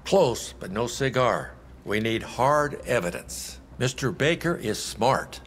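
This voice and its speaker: A stern, sardonic lawman boss in a crime game, mid-pitched and clipped. He questions sharply in a reverberant room with faint noise. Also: lively intonation, slow, fairly bright tone. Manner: clipped, interrogating. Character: stern, sardonic male police chief. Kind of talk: cinematic video game acting.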